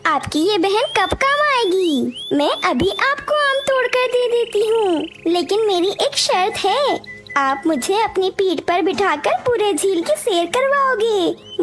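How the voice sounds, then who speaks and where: Very high-pitched cheerful little bird. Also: female voice, heavily acted. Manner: sweet, chirpy, childlike. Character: cheerful, friendly little female bird, eager and sisterly. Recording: music behind the voice.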